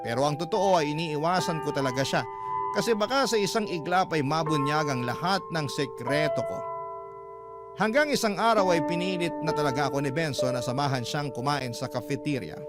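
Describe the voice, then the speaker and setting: A man telling a heartfelt love story in an earnest, emotional way, his voice sitting a little high. Loud background music plays under every line as he narrates in the first person for a radio show. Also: lively intonation, fairly quick. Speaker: radio host reading a listener's letter. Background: heavy background music.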